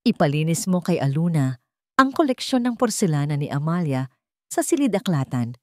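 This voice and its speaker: A woman's voice: low-pitched, very animated, normal speed, dark tone, dry recording. Female narrator as cold, commanding elderly rich man, audio drama, dry recording. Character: stern, emotionless, suspicious old male billionaire patriarch.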